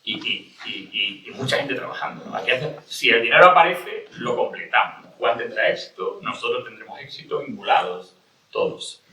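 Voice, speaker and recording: Man, from Cádiz, panel talk, very bright tone, rambling restarts, echoey distant room. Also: medium pitch, expressive, moderate pace. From Cádiz, Spain. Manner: rambling, many restarts and rhetorical questions. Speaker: panel speaker. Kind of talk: panel discussion.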